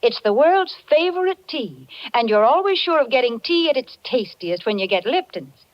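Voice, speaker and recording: A woman delivers a scripted commercial in 1940s radio style. Her voice is fairly high and very animated, persuasive and chipper, on a dull vintage recording. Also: moderate pace, no echo. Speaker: commercial spokeswoman.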